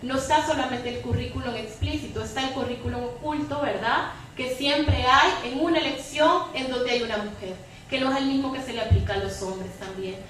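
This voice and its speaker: A woman's voice: high voice, a little fast, hardly any pauses, a bit bright. A woman arguing analytically and emphatically as a debate panelist, often ending on a questioning tag. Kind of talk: impassioned argument in a public debate. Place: echoey room at a public debate. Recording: echoey hall, distant mic, background noise.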